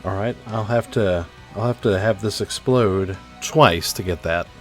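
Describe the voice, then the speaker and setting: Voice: low-pitched, measured pace, soft background music. A player chatting casually while playing a tabletop roleplay game. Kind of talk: casual chat.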